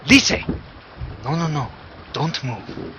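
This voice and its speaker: Man acting an animated, earnest comrade in arms. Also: a little high, takes it slowly, heavily acted. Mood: anxious, protective. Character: earnest, protective male comrade in arms, urgent, dryly sardonic. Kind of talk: fantasy audio drama acting. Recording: dull, noisy recording.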